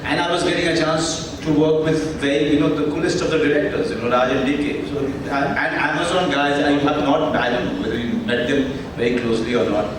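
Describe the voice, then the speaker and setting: An actor answering at a press conference, rambling and halting with many fillers. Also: male voice, fairly high pitch, fairly even intonation, recorded from a distance. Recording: echoey hall, mic from afar, noisy.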